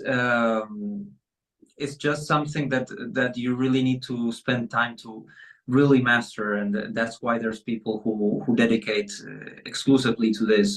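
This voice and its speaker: A man's voice: medium pitch, fairly even intonation, unhurried, fairly bright tone, recorded from a distance, poor audio. A man with a Spanish accent, a concert pianist, answering interview questions hesitantly with many fillers.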